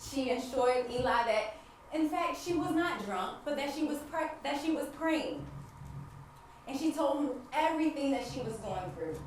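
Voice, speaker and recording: A high-voiced woman heard from far off in a very echoey hall with faint noise. She is an actress playing a devout wife, anguished yet hopeful, delivering an emphatic theatrical monologue to the audience with dramatic pauses. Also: expressive, a little mellow. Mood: awed, earnest. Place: stage play in a large echoey room.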